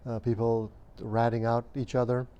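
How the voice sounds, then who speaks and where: A man in his fifties, originally from Romania, speaks in a mellow, smooth voice. His delivery stays fairly flat and even, and he moves through his memories with measured hesitation and frequent fillers. He sounds like an interviewee looking back on his childhood. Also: mid-range voice, lo-fi sound. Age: 50s.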